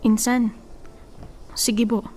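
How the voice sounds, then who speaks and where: An actress playing a blunt, bossy female cousin in a radio drama, teasing and scolding with a sharp tongue. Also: a little high, flat delivery, drawn-out words, a little hiss or hum.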